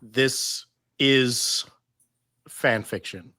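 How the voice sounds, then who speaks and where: A man's voice: mid-range voice, fairly even intonation, drawn-out words, bright, forceful tone, no echo, studio quality. A man chatting casually as a panelist, trading banter.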